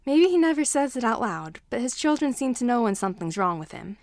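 A woman's voice: fairly high pitch, sing-song, a little fast, darkish tone, dry recording. Woman reading a story, close dry sound. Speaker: fan fiction reader. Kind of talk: scripted story narration.

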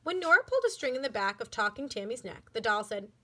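A woman reads a children's story aloud. Her voice is high and bright, and she narrates expressively, like a teacher addressing pupils. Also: big pitch swings, fast. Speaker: elementary school educator. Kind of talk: children's book read-aloud.

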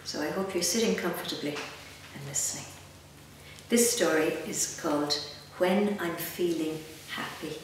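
A woman's voice: fairly low pitch, normal speed. A woman in her fifties with an Irish accent reads a picture book aloud to children, expressively, with chatty asides and questions. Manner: animated, gently expressive, addressing young listeners with questions. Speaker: guest storyteller. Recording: echoey room, distant mic, faint noise.

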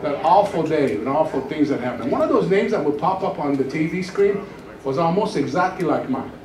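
A man's voice: a little high, a little fast. A man in his sixties from Iran, a pastor giving a joking testimony sermon on a church stage with a handheld microphone. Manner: storytelling, joking, animated gestures while pacing the stage. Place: church stage, large room. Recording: echoey church hall from far off.

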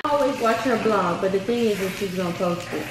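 A woman's voice: medium pitch, fast. A woman teasing and chatting casually with friends. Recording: echoey room with loud noise.

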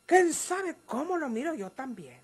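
A woman's voice: high-pitched, big pitch swings, unhurried, darkish tone. An actress playing a stubborn, folksy woman with a rural Mexican accent in a radio drama. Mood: earnest, evasive.

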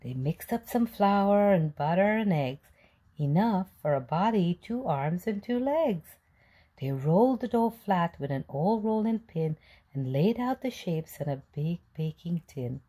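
A woman narrating a children's picture book as a read-aloud storyteller, in an expressive, deliberate manner. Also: lowish voice.

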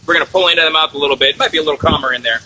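A man in his thirties, co-host of a whiskey review show, chatting in a laid-back, halting way from a moving boat, phone held at arm's length. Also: a little high, noisy background. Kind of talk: casual tasting chat.

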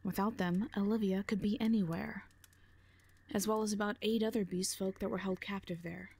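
A woman's voice: medium pitch, steady tone, moderate pace, vocal fry, warm tone, husky. A woman playing a brash, defiant mercenary in an audio drama, with a blunt, sardonic manner. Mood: firm, protective. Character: brash, defiant female mercenary, protective and unimpressed.

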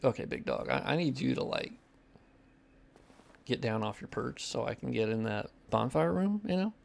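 Nasal gravelly young man streaming. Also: mid-range voice, animated, moderate pace. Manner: profane, reads chat questions aloud. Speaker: modder streaming gameplay. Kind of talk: casual livestream chat while playing.

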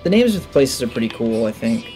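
Guy doing a lets play, kinda creaky voice, goes up and down a lot, mumbling thoughts to himself. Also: mid-range voice, big pitch swings, quick pace, mellow, soft background music. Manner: offhand, musing aloud to himself. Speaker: gaming let's player. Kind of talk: casual gameplay commentary. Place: indoors at a desk. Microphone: headset.

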